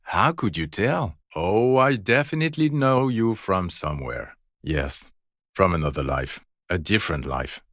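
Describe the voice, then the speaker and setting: Very deep-voiced, sardonic jaded cop. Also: male voice, lively intonation, unhurried, fairly bright tone, no echo. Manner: dry, biting sarcasm, exasperated. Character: jaded, sardonic, foul-mouthed male police detective. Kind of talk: video game character voice acting.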